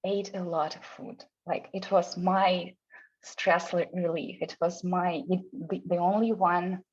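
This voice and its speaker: A woman from Russia with a Russian accent, English her second language, speaking as a guest in a casual interview, often pausing and restarting her phrases. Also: lowish voice, little pitch movement, a little mellow, slightly rough, lo-fi sound. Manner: hesitant, restarts phrases, non-native grammar.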